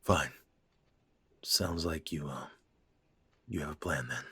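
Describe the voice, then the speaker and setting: A man voicing a gruff, stubborn middle-aged father with a raspy voice, blunt and scolding, trailing into hesitant pauses. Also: very deep voice, no echo, exaggerated voice. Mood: subdued. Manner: blunt, scolding, softening into hesitant halting speech. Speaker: voice actor. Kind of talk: audio drama acting.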